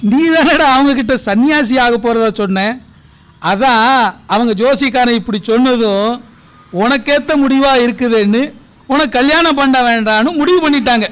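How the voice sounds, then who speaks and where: A man acting as a professor's teasing best friend in a comic radio drama, familiar and quick to scold. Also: very high voice, a bit bright, smooth.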